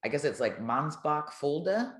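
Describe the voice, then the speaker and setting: A woman's voice: low voice, sing-song, normal speed, roomy sound. An older woman, chatting and telling stories as an interview guest in a colloquial way. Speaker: retired air traffic controller, podcast guest. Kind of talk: casual storytelling in an interview.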